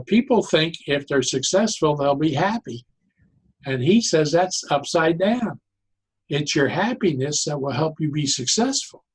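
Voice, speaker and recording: A man explaining ideas conversationally as an interview guest, in an animated, halting way, often repeating and interrupting himself. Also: on the high side, breathy edge, poor audio. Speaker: podcast guest, psychologist turned joy advocate.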